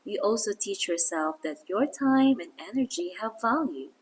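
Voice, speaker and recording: A woman narrating a scripted read-aloud English lesson in a deliberate, encouraging, instructional way. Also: mid-range voice, lively intonation, slightly rough. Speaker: English reading teacher and narrator.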